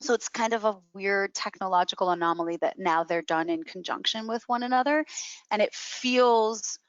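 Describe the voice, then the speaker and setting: A woman's voice: mid-range voice, normal speed, smooth, dry recording, highs cut off. A woman giving explanatory career advice in a webinar, emphatic and stressing key words by repeating them. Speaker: career coach presenting a webinar.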